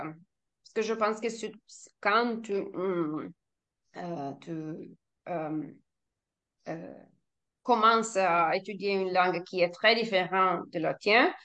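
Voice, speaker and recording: A woman's voice: medium pitch, a bit bright. A woman with an Italian accent, a native Italian speaker talking in French as a learner, hesitant with frequent restarts and laughs. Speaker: language learner, guest. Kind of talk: casual conversation.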